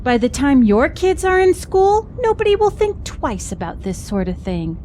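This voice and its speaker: A woman's voice: sits high, big pitch swings, normal speed, warm tone, a little hiss or hum. A woman acting a proper, image-conscious mother in an audio drama, prim and emphatic. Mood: self-satisfied approval.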